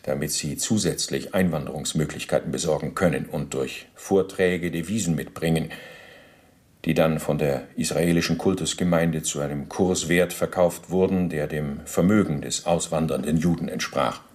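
A man acts out a former SS officer giving testimony in a radio drama. The voice is low, and the wording is stiff officialese. He justifies himself in pedantic detail and stays formally deferential to his interrogator. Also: a little mellow, lightly acted. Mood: officious, explanatory. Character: male former SS officer under interrogation, self-justifying bureaucrat. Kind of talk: radio play, testimony monologue.

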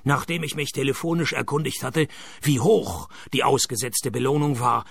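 An actor playing a dry, cynical private detective who narrates in a deadpan, gravelly hardboiled radio-play style. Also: male voice, mid-range voice, normal speed, no room sound. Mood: deadpan, wry. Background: music.

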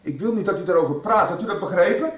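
Strict exasperated schoolmaster, high swooping voice, clipped orders, roomy radio drama sound. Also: male voice, expressive, fast talker, far from the mic, lo-fi sound. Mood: stern. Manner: emphatic, clipped, authoritarian. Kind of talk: 1990s radio soap acting.

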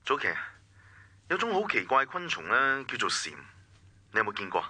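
An actor playing a caring, gently persuasive male confidant in a radio drama, with a Hong Kong Cantonese accent. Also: medium pitch, very animated, brisk, husky, no echo, exaggerated voice.

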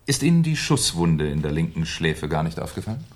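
An actor playing a shrewd, sardonic Paris police inspector in a 1970s German radio crime play, deliberate and mock-courteous. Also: male voice, extremely low pitch, sing-song, full character voice. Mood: dry, probing.

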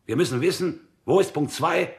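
A man's voice: on the high side, normal speed, vocal fry. An actor playing an impatient, domineering military captain in a radio drama, commanding and sarcastic, barking his demands. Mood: urgent.

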